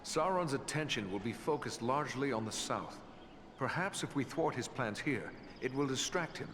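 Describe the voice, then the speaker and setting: An actor playing an earnest human ranger in a fantasy game, speaking formally and steadily with polite courtesy. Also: male voice, mid-range voice, expressive, moderate pace, natural voice. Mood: earnest, concerned. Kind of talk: fantasy video game character acting. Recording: clear background ambient noise.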